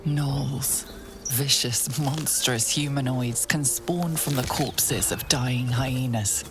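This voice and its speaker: A woman playing a grave, ominous storyteller who narrates a dark fantasy game in a hushed, deliberate way. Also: extremely low pitch, over music, exaggerated voice. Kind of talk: scripted second-person game narration.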